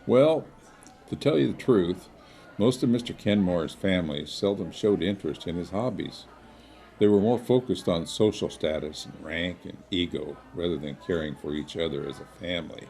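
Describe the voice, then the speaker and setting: A man playing a courteous small-town storyteller in an audio drama, with a folksy, measured, old-fashioned politeness. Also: deep voice, darkish tone. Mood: helpful.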